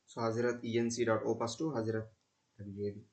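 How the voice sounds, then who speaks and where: A young man giving an unscripted screen-recording tutorial as a student presenter, speaking haltingly and repeating himself. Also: lowish voice, little pitch movement, darkish tone.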